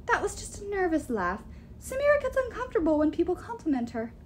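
A woman voicing an anxious, quick-witted young woman in an audiobook, speaking in an urgent, placating way. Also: very high-pitched, very animated, a little hiss or hum, voice pushed up. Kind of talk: audiobook character voice.

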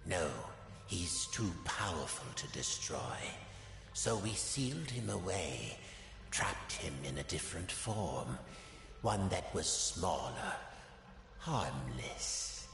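Gravelly, courteous video game villain. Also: male voice, low-pitched, very animated, fairly bright tone, heavily acted. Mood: grave, dismissive. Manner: slow, deliberate, silkily polite. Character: menacing, courteous middle-aged male villain, smoothly condescending. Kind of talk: video game character acting. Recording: echoey hall reverb, faint music behind.

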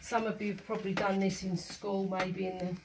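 A woman's voice: medium pitch, level tone, a little fast. A middle-aged woman with an English accent, a teacher patiently explaining a household task step by step, filmed on a phone in her home kitchen and hallway. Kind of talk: instructional home demonstration. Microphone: handheld phone filming. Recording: echoey room, noisy phone audio.

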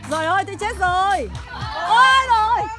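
Lady, really high voice, chatting to her dog like a kid, phone vlog outside w music. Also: lively intonation, brisk, a loud crowd. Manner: doting, calls the dog like a child. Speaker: dog owner filming a vlog. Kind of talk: casual chat, talking to her dog. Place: outdoors at a campsite.

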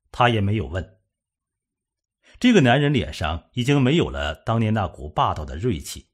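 Male audiobook narrator, solemn dramatic delivery, husky voice, very wide pitch swings. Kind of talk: dramatic scripted suspense novel narration.

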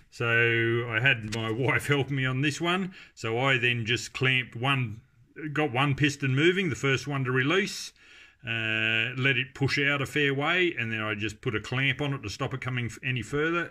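A man's voice: mid-range voice, pushed and bright. A middle-aged man, explaining a brake repair step by step in a casual, practical way, with plenty of fillers. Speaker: home mechanic restoring a car. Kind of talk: casual how-to narration. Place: home garage workshop.

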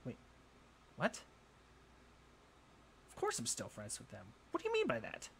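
A man's voice: medium pitch, huge pitch swings, gravelly, a bit bright, a little hiss or hum, exaggerated voice. Man acting a volatile, insecure young college bully. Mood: angry, defensive. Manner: scoffing, sighing, swearing, halting when flustered. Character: jealous, insecure young male college bully, hot-tempered and defensive. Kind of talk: roleplay audio drama, addressed to the listener.

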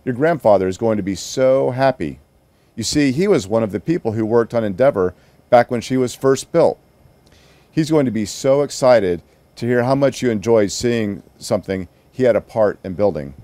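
A man's voice: mid-range voice, animated, moderate pace, mellow, no echo, lightly acted. An American man voicing a pleased, affectionate mother of a young boy in a children's storybook.

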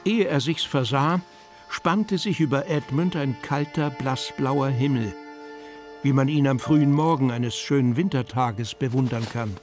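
A man's voice: mid-range voice, unhurried, natural voice. A man narrating a German children's radio play in a scripted storybook style. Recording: music behind the voice.

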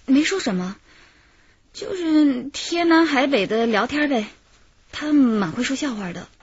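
A woman's voice: high-pitched, animated, unhurried. An actress playing a chatty, flirtatious and defensive dance-hall woman in a radio drama, a coy way of talking. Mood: defensive, recounting. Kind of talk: radio drama dialogue and recounting. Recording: slightly muffled, music underneath.

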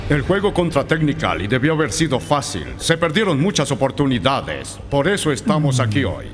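An actor playing a stern, authoritative football coach in a cartoon, giving instructions. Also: male voice, mid-range voice, natural voice.

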